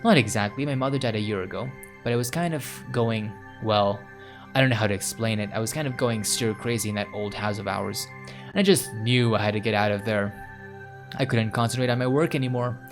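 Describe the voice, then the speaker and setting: A man's voice: fairly low pitch, brisk, over music, close to an everyday voice. A man voicing a chatty, flustered, scatterbrained young woman in a visual novel, rambling and gushing. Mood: wistful.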